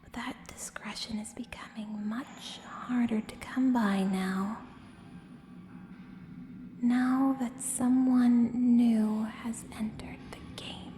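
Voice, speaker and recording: A woman playing a menacing, coolly seductive contract killer in an ASMR audio roleplay, speaking in a hushed, deliberate, breathy voice with pointed pauses. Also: fairly high pitch. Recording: roomy long reverb, clear background noise.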